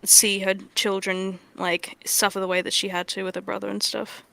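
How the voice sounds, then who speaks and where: A woman's voice: mid-range voice, level tone, normal speed, creaky, sharp tone, poor audio. A woman talking casually on a livestream as a creator and director, earnest, rambling and freely swearing. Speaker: audio drama creator and director.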